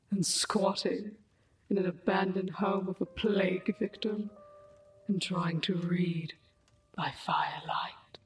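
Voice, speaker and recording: A woman playing a mysterious, disheveled female scientist in a dark audio drama, speaking deliberately and teasingly. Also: medium pitch, a little hiss or hum, close to an everyday voice. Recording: roomy distant echo with music underneath.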